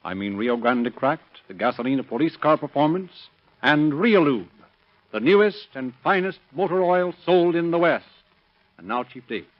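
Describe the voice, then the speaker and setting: A man working as a polished, formal 1930s radio announcer and narrator, reading a scripted sponsor pitch. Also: lively intonation, normal speed. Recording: muffled vintage radio recording.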